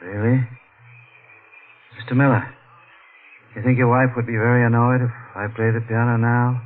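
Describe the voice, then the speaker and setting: A man's voice: mid-range voice, flat delivery, moderate pace, a little hiss or hum, close to an everyday voice. An actor playing an eccentric, volatile male composer in a 1940s-style radio mystery, with precise, polite diction and a brooding, theatrical manner. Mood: eerily polite. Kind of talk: melodramatic 1940s radio acting. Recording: tinny old radio recording with music.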